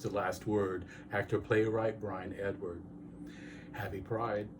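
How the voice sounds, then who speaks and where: A man's voice: on the low side, darkish tone, a little hiss or hum. A man working as presenter, introducing poetry readings and guests to viewers. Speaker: presenter and archivist.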